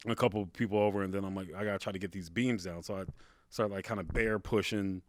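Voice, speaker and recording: Man, deep big voice, casual storyteller, informal halting phrasing, close dry sound. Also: steady tone, dry recording. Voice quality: big, carrying voice. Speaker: podcast guest, stand-up comedian.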